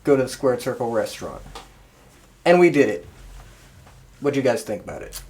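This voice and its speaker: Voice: mid-range voice, very animated, moderate pace, darkish tone. A podcast host chatting casually. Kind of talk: casual chat.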